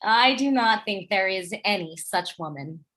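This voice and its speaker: A woman playing a gentle, melancholy noblewoman and obedient wife in a Shakespearean radio play, with a wistful manner. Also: mid-range voice, expressive, unhurried. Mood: troubled, innocent.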